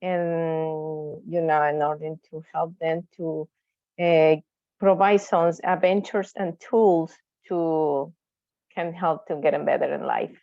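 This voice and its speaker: Low, gravelly woman with an Ecuadorian accent. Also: fairly even intonation, no room sound, muffled recording. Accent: Ecuadorian Spanish. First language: Spanish. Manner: halting, searching for English words. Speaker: workshop participant. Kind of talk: self-introduction on a video call. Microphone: video call.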